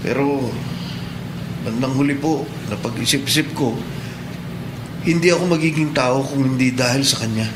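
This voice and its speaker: A man's voice: mid-range voice, normal speed, pauses often, natural voice. A man playing a respectful, earnest young police cadet in a Philippine radio drama, speaking politely with a Filipino accent. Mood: earnest, heartfelt. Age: young adult. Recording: echoey room, clear background noise.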